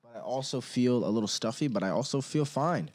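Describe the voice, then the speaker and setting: Young male podcast host, mid-pitched, casual and rambling, slight hoarseness. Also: expressive, fairly quick, darkish tone. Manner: rambling, laid-back, slangy. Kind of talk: casual solo podcast chat. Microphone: studio microphone.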